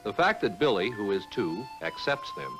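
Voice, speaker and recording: A man giving formal, measured scripted film narration, telling a story solemnly. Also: on the low side, sing-song, low-quality recording. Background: music.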